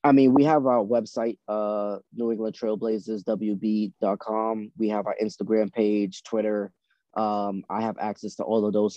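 A man's voice: fairly low pitch, mellow, no room sound, highs cut off. A man who grew up in Boston, a basketball coach, giving casual, conversational answers as a podcast guest. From born in Trinidad, grew up in Boston from age six. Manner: conversational, frequent "you know".

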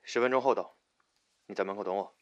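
A man's voice: fairly low pitch, fast, highs cut off, close to an everyday voice. An actor playing a domineering, impatient young man in a modern radio drama, brusque and commanding. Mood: curt, ordering. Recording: clearly noisy track with rain-like ambience.

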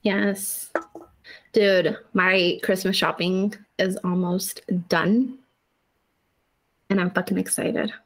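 A young woman from Chihuahua, Mexico, co-hosting a casual podcast and telling animated stories with laughs and swearing. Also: mid-range voice, slow, long pauses, rough recording. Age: young adult. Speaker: podcast co-host. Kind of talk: casual chat.

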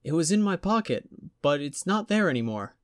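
Storybook part: a man playing a frantic young woman, high and shrill. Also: sing-song, moderate pace, no echo, studio quality. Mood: panicked. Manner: panicky, swearing. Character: panicking young woman tourist.

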